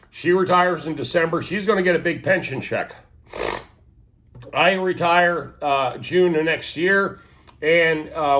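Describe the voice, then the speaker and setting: A man's voice: a little high, a bit bright. A man in his sixties with a sniffly, stuffed-up nose, rambling casually to his viewers with many fillers and restarts. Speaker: vlogger. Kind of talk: casual talk to camera.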